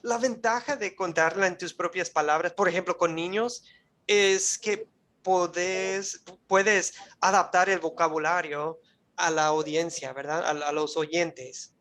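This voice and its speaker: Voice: mid-range voice, steady tone, a little slow, a bit bright. A Bible course teacher giving a live online class in Spanish, which is not their first language, talking conversationally, often checking in with the listeners and restarting phrases while searching for words. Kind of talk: live online class lecture, closing with a prayer.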